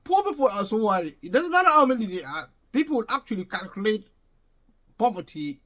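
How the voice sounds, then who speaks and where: A man's voice: high voice, very animated, brisk, fairly bright tone. A man vlogging an unscripted opinion monologue to his viewers, emphatic and prone to restating and rephrasing his points. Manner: emphatic, addresses viewers directly.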